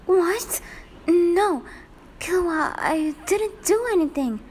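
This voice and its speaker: Woman putting on a high, catty schoolgirl. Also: lively intonation, slow, darkish tone, slight background noise, exaggerated voice. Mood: flustered. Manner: affected, condescending, sugary. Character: catty, lovesick schoolgirl, falsely sweet rival. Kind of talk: romantic fan-fiction roleplay.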